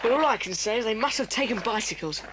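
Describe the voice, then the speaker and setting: Cast: a woman as a nervy boy sidekick, fast and lively, off-mic with noise. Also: on the high side, close to an everyday voice. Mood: cautious. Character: cautious, loyal schoolboy sidekick.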